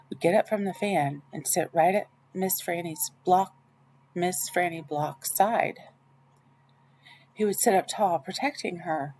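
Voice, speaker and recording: Female reader for children's fiction, on the low side, expressive, slightly noisy recording. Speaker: audiobook reader. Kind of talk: children's novel read aloud in first person.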